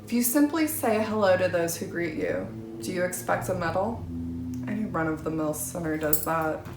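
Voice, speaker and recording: A woman's voice: fairly low pitch, moderate pace, background noise. Woman reading scripture in an echoey room. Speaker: scripture reader at a church. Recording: roomy, echoing sound.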